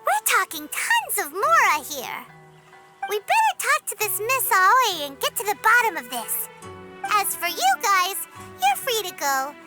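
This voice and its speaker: Squeaky, spirited fairy child. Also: female voice, wildly swooping pitch, a little slow, full character voice. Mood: assertive. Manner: exclamatory, earnest. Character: small spirited childlike fairy companion girl, bossy and curious. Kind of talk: video game cutscene voice acting. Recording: loud music behind voice. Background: game music.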